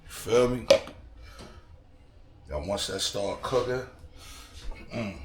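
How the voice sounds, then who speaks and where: Slangy New York man, hoarse, echoey kitchen. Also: lowish voice, extremely slow. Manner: laid-back slangy chatter to viewers. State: slightly hoarse, froggy voice. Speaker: home cooking vlogger. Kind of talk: casual cooking vlog talk. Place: home kitchen. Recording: echoey room with background noise.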